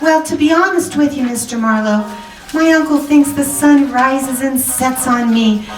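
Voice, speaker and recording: Roomy, off-mic recording: actress as worried genteel young wife, high voice, polite and hesitant, old radio drama. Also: female voice, measured pace. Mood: anxious, polite. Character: anxious, genteel young married woman seeking a detective's help. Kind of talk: 1940s radio drama acting. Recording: roomy, distant microphone, music behind.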